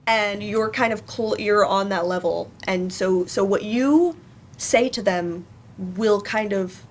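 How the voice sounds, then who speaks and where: A woman's voice: mid-range voice, takes it slowly, slight background noise. A woman speaking conversationally as a panelist in a discussion.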